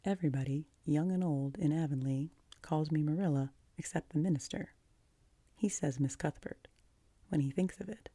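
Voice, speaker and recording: Casting: woman to play a no-nonsense older guardian in a storybook, low and mellow, firm, curt, matter-of-fact. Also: moderate pace, husky, no echo. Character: stern, practical, unimaginative older guardian woman. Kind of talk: audiobook character voice.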